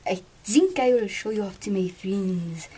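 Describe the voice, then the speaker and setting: A woman voicing smitten male creature suitors from a storybook in a husky, breathy voice. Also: mid-range voice, a little slow, dark tone, voice pushed down. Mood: smug. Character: creepy, smitten male frog suitor and vain beetle.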